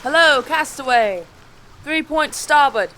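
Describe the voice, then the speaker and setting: A woman playing a dutiful, alert sailor in a seafaring audio drama, with brisk nautical delivery. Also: sits high, expressive, sharp tone, a little hiss or hum, heavily acted, rough recording. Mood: calling out. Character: dutiful, alert ship's lookout sailor calling to the captain.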